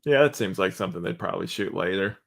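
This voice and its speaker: A man's voice: sits low, expressive, fast, gravelly, a bit bright. A man speaking as a podcast guest, giving short, joking reactions in casual chat.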